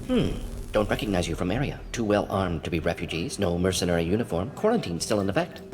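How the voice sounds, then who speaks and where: An actor playing a male alien scientist who talks in quick clipped fragments and drops his articles. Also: low voice, big pitch swings, a little mellow, soft background music. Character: fast-talking male alien scientist, twitchy. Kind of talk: video game voice acting.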